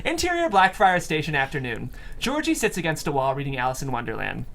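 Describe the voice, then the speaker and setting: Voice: mid-range voice, very animated, quick pace, vocal fry, fairly bright tone, slight background noise. A person reading stage directions aloud at a screenplay table read.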